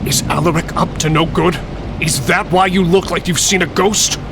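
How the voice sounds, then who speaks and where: Man acting an excitable, high-voiced fantasy blacksmith. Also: normal speed, background noise, lightly acted. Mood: demanding, heated. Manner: insistent, excitable, demanding. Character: Garrick, male blacksmith, loyal, nosy, hot-tempered friend. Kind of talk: dark fantasy audio drama dialogue.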